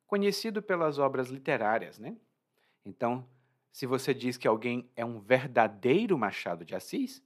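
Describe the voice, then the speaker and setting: A Brazilian man with a Brazilian Portuguese accent, teaching Portuguese vocabulary in a chatty, informal way. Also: medium pitch. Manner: lively, informal, chatty, laughs at own jokes. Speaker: language teacher. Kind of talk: explaining vocabulary from a story.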